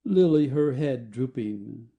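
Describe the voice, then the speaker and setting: Very mellow man narrating stage directions. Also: medium pitch, expressive, takes it slowly, slightly rough. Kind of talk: scripted narration of an audio play.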